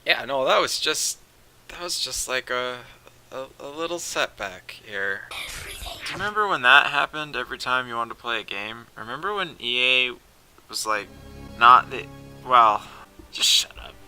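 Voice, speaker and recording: A man's voice: mid-range voice, animated, unhurried, pushed and bright, soft background music. A man casually commentating on a game, rambling, sarcastic and profane.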